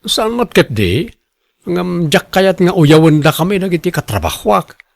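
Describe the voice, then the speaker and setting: A stubborn, image-conscious family man acted by a man in a radio drama. His voice sits a little high for a man, with an Ilocano Filipino accent and a dry, close sound. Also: sing-song, measured pace, vocal fry, no echo, exaggerated voice. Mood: insistent, proud. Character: proud, image-conscious husband and father, defensive and stubborn.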